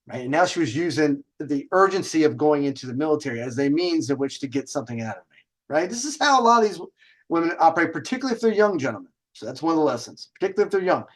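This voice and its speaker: A man hosting a dating advice show, telling stories casually in a brash, emphatic way, drawing out stressed words and throwing in rhetorical questions. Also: a little high. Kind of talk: casual storytelling monologue with advice to viewers.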